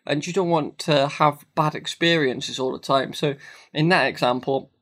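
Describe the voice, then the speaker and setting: A man with a cold, talking casually to camera about business responsibility, repeating phrases and filling pauses with ums. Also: on the high side, a bit bright. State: has a cold, sniffly. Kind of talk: casual advice monologue.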